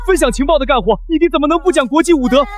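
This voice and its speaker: High, agitated cartoon blusterer. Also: male voice, racing. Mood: feigned indignation. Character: blustering, cowardly foreign man, indignant schemer. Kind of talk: animated drama dubbing.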